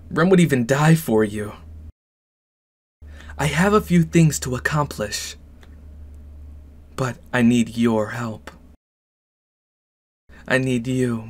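A slow-spoken, manipulative young man acted out by a male performer in an anime-style ASMR roleplay. He is a possessive boyfriend with delusions of grandeur, deliberate and coldly controlling in every phrase. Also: medium pitch, a little mellow.

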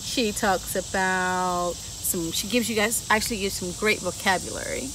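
A woman in her thirties reading a picture book aloud to children and then touring a garden with them, asking lively questions. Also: a little high. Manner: lively, talks straight to kids with questions. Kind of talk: children's story time read-aloud and garden tour. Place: outdoor garden, seated on a bench then walking among plants. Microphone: camera a few feet away, no visible mic. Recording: outdoor camera audio with steady insect noise.

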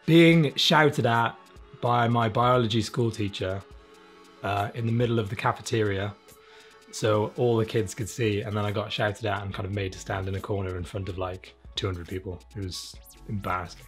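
A man's voice: lowish voice, fairly even intonation, normal speed, faint music behind. A man in his thirties from South Wales chatting casually and self-deprecatingly as an interview guest, with informal, sweary talk. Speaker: interviewed illustrator. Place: small room, home studio indoors.

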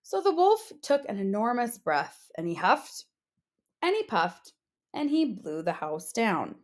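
A woman narrating a children's storybook aloud in an expressive read-aloud style. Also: a little high, unhurried. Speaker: storybook reader, teacher.

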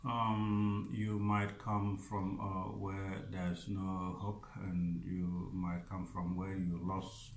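A man in his forties from South Sudan, answering interview questions in a halting, earnest way, repeating words and restarting phrases. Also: sits low, completely flat delivery, echoey room, a little hiss or hum. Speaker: operations manager of a resettlement group. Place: small office room indoors. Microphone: camera a few feet away.

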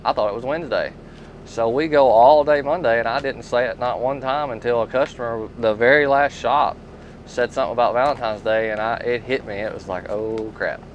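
A man's voice: mid-range voice, a little fast, lo-fi sound. A man talking casually and folksily as a tool dealer showing products. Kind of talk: casual product talk and storytelling. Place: inside a tool truck. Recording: steady background noise.

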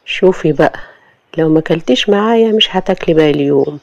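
A storyteller voicing an arrogant, mocking rich husband with an Egyptian accent, giving cold commands. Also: male voice, a little high, fast, creaky, no room sound, highs cut off. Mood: domineering.

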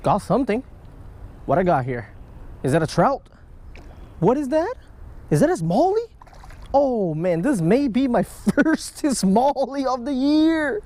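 A man giving casual, excitable running commentary while fishing outdoors at a creek, talking to the fish and his viewers, recorded on a chest-mounted action camera. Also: very high-pitched, unhurried, pauses often. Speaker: fishing vlogger. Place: outdoors by a creek under a stone bridge.

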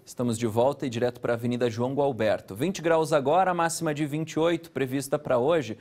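A man, presenting the local news from a TV studio in a steady, conversational way. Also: medium pitch, fast talker, soft background music. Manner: steady, conversational anchor delivery. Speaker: news anchor.

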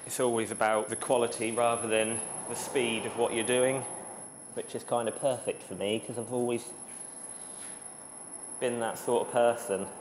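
A man's voice: mid-range voice, little pitch movement, moderate pace. Guy making skyr, chatting easily as he works, roomy sound with plenty of background noise. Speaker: dairy skyr maker. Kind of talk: casual explanation while working. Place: small dairy production room, indoors. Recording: echoey room with clear machinery noise.

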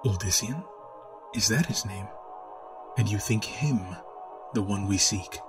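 A man voicing a kindly yet sinister high priest in a storybook reading, speaking softly and in a measured, coaxing way with a low, smooth voice. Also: soft background music. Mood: persuasive. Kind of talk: storybook narration.

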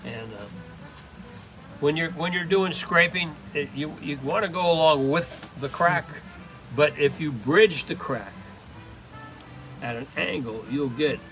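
An older man demonstrating woodworking in an open workshop, talking in a halting, rambling way full of restarts. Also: a little high, expressive, a bit bright, soft background music, low-quality recording. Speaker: woodturner demonstrating. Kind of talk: casual hands-on demonstration.

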